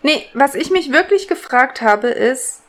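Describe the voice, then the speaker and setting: A woman's voice: high-pitched, lively intonation, a little slow. A woman in casual podcast chat, explaining things with some hesitation as a co-host.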